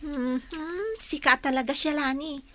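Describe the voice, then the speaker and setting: A woman's voice: sits high, flat delivery, measured pace, pushed and bright. A woman playing a playful, teasing young friend in an Ilocano radio drama.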